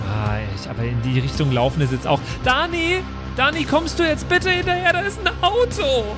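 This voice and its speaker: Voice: high voice, big pitch swings, normal speed. A person playing a tabletop game, improvising casual banter in character. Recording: music behind the voice. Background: music.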